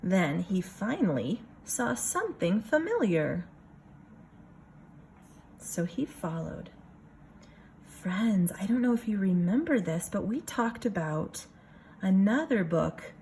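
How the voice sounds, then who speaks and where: A woman reading a picture book aloud like a kindergarten teacher, expressive, pausing for casual asides to the children. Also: mid-range voice, a little hiss or hum.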